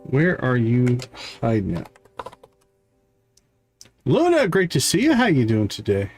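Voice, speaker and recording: Lively-pitched man streaming a game. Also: unhurried, faint music behind. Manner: thinks aloud, reads game dialogue aloud. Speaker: live streamer and game host. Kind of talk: casual live gameplay commentary, chatting to viewers.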